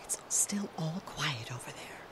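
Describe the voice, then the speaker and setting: A woman's voice: deep voice, animated, unhurried. An actress playing an earnest, secretive amateur spy in a comic radio play, whispering her lines. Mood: hushed, serious.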